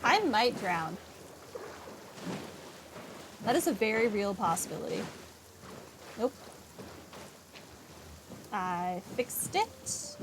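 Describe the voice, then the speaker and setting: A woman's voice: medium pitch, very animated, very slow, pauses often, background noise. A woman giving casual, slangy, joking commentary as she plays a video game. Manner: jokey, slangy. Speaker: gaming streamer.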